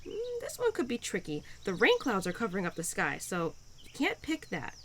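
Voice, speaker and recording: A woman's voice: mid-range voice, huge pitch swings, normal speed, fairly bright tone, a little hiss or hum. A woman playing a cheerful, pun-loving spirit of spring in an intimate audio roleplay, playful and encouraging with flustered hesitations.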